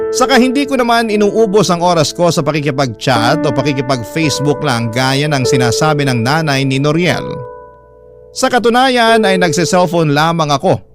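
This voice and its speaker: A man's voice: fairly high pitch, natural voice. A man with a Filipino accent giving an emotive, aggrieved dramatic reading of a letter to a radio show. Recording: music bed under the voice. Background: music.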